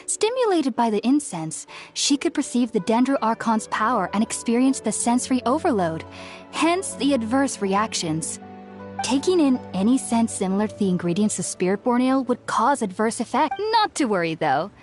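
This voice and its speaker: A woman playing a studious, polite young researcher in a video game, speaking formally, earnestly and with precise, measured phrasing. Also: on the high side, sing-song. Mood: explanatory. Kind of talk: scripted game character dialogue. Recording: music behind the voice.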